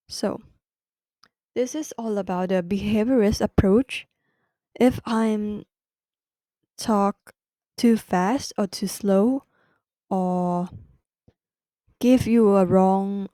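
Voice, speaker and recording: Thai-accented woman hosting a study podcast, slow and halting, mellow tone, dry close sound. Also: mid-range voice, smooth, no room sound. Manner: careful, halting second-language delivery with restarts. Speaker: podcast host. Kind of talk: educational podcast explanation.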